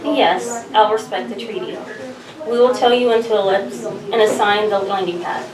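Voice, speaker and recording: A woman's voice: medium pitch, steady tone, normal speed, crowd noise, natural voice. A woman playing an imperious, menacing alien ruler at a sci-fi table read. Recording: echoey room, distant mic, background voices.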